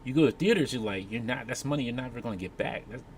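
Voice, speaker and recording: A man with a mid-pitched, very lively voice chats as a panelist. He is emphatic and slips into imagined remarks, with faint noise around him on the live recording. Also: fast. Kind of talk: casual panel chat. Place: convention panel room.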